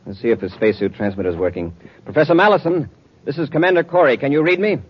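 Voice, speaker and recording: An actor playing a decisive space patrol commander in a clipped, commanding 1950s radio drama style. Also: male voice, mid-range voice, animated, fast talker, husky. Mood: focused, brisk. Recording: muffled, noisy old radio recording.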